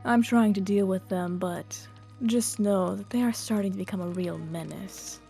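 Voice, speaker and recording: Woman as a mellow, composed noble lady. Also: medium pitch, moderate pace, creaky, soft background music, close to an everyday voice. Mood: composed, guarded. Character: composed, reserved noble lady at vampire soiree. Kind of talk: Minecraft roleplay acting.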